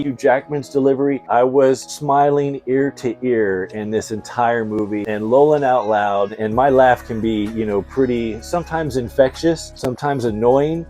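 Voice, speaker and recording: A man talks like an enthusiastic vlog host, casual and full of slang. His voice is warm and relaxed at an average pitch. Music plays faintly behind him as he chats excitedly. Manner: excitable, slangy, chuckles often. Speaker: host of a toy and movie review channel.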